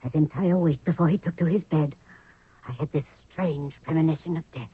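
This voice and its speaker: An actress playing a motherly, knowing old queen in a 1970s radio drama, weary and deliberate. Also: deep voice, husky, dry recording. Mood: weary, brooding. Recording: narrow, tinny 1970s radio recording.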